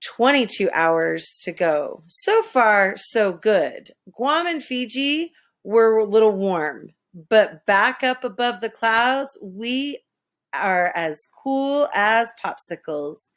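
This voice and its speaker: Animated, unhurried woman reading a storybook. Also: medium pitch. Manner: animated, dramatic, with hushed asides. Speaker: storybook reader. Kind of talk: expressive read-aloud of a children's story.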